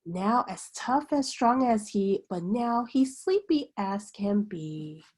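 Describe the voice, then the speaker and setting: A woman reading a children's picture book aloud like a teacher, with an expressive, sing-song bedtime delivery. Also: mid-range voice, takes it slowly.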